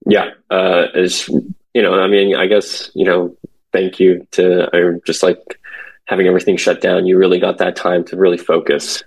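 A young man in his twenties, born in Korea and raised in California, speaking as a podcast guest in a relaxed, hesitant way with many fillers. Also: sits low, normal speed, gravelly, breathy edge, low-quality recording. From born in Korea, raised in San Francisco Bay Area. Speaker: guest, commercial real estate associate. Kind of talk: casual podcast conversation.

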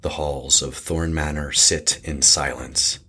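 A man giving scripted narration of a horror story in an ominous, measured manner. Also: rumbling low, fairly even intonation, pushed and bright, slightly rough.

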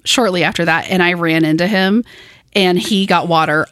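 A woman co-hosting a podcast in casual chat, lively and quick to laugh, a little off from allergies. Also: fairly low pitch, slight background noise. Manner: chatty, laughs often, lively storytelling. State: dry throat from allergies. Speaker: podcast co-host. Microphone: podcast mic in a small room.

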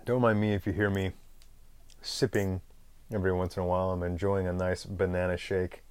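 A man hosting his own podcast, talking off the cuff in a loose, rambling way, often pausing on "um" and clearing his throat. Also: deep voice, flat delivery, a little mellow. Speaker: podcast host. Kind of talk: unscripted solo podcast monologue.

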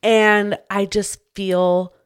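A woman's voice: mid-range voice, level tone, very slow, smooth. A woman in her twenties hosting a podcast interview, chatting casually with friendly asides. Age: 20s. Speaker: podcast host.

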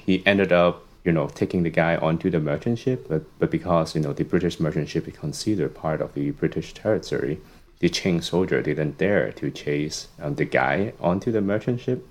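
Podcast guest: young male academic, very deep voice, casual, filler-heavy storytelling. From Hong Kong. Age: young adult. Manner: relaxed storytelling, frequent "um" and "you know". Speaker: guest, psychology professor.

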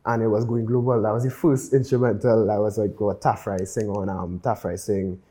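A man's voice: on the low side, little pitch movement, normal speed, dark tone. A man with a Trinidadian accent, talking casually and conversationally as a guest music producer in an interview.

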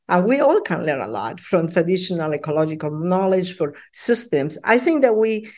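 A mature woman with a Peruvian Spanish accent, speaking as a professor in an interview in earnest, halting phrases. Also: fairly low pitch. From Peru. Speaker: professor, podcast guest. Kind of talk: interview answer.

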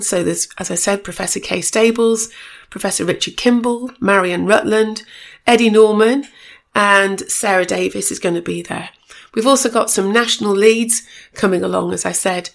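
A woman hosting a solo podcast with a slightly croaky voice, giving a chatty, informative update and correcting herself as she goes. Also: medium pitch. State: a bit croaky voice. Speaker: podcast host.

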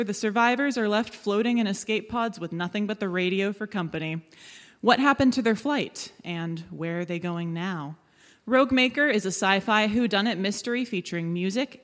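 A woman's voice: mid-range voice, a bit bright. A woman giving dramatic scripted narration as the presenter of a live audio drama. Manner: dramatic, theatrical storytelling. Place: live stage in a hall. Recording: echoey hall, live stage.

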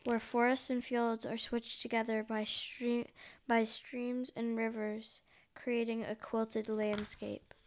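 A woman with a fairly high voice reads a picture book aloud as host. She takes it very slowly and haltingly, going back over words as she goes. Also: little pitch movement, slight background noise. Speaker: host of a read-along.

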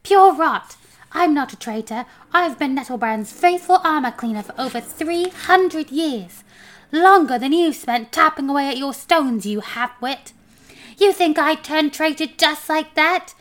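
Woman as a haughty, high-pitched, hissing little homunculus. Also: expressive, unhurried, fairly bright tone. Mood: indignant, boastful. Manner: hissing, scolding, imperious. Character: tiny spidery male homunculus, haughty, sly, bossy schemer. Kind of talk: children's fantasy storybook reading.

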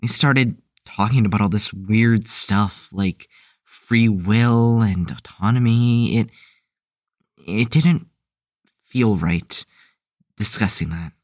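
Guy playing a nervous young patient in an audio drama, unsure, trails off, talks slow, no room echo. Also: on the low side, clean studio sound. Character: Nikolai, hesitant, uneasy young male psychiatric patient. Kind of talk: audio drama acting, confiding.

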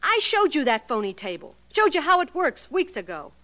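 A woman's voice: high voice, very animated, moderate pace, fairly bright tone. An actress playing a frightened young woman pleading with a man in a 1940s radio drama. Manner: pleading, fearful.

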